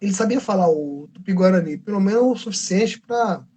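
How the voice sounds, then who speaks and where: A man's voice: high voice, expressive, a little fast, dark tone, breathy edge. A Brazilian man, a comic book artist, telling a history story in a casual livestream, rambling and correcting himself as he goes. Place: convention livestream.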